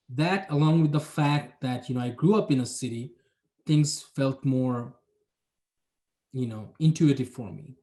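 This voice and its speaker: A man's voice: medium pitch, a little slow, pauses often, mellow. A young adult man from Bangladesh, talking about his planning job in a casual interview, reflective and hedging with many fillers. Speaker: urban planner, guest.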